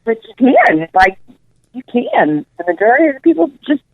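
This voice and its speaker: A woman's voice: high voice, wildly swooping pitch, moderate pace, no room sound. An earnest woman guest chats casually in a radio interview over a dull, lo-fi phone connection. She stresses her points by repeating words. The sound is dry, with no room around her. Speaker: interview guest, nutrition coach.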